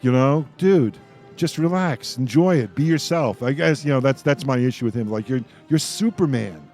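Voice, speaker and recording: A man in a casual roundtable fan discussion, halting and informal, often restarting mid-thought. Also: medium pitch, animated, darkish tone, soft background music.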